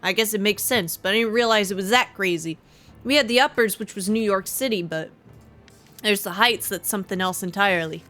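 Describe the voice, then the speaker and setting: A woman's voice: medium pitch, a little fast, a bit bright. A woman game streamer giving casual live commentary as she plays.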